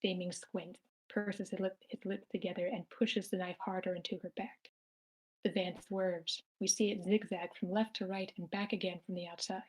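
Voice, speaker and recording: A woman narrates a screenplay's action at an amateur table read. She reads plainly in a medium-pitched voice and now and then stumbles on a word. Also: level tone, normal speed, a little mellow, husky, low-quality recording. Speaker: stage-direction narrator.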